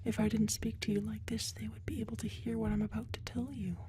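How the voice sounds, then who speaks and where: A woman voicing a giant, cunning, predatory alien brood mother in a whispered ASMR audio roleplay, with a hushed, controlling, coolly menacing manner. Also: fairly high pitch, flat delivery, quick pace, a little hiss or hum, close to an everyday voice. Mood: quietly menacing.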